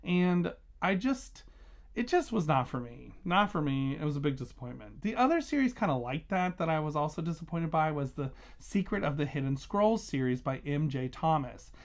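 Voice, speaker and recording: A man's voice: fairly high pitch, very animated, moderate pace. Man chatting casually, emphatic about books. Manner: emphatic and conversational, stressing and repeating key words. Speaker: book reviewer and vlog host. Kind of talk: casual chatty book review to viewers.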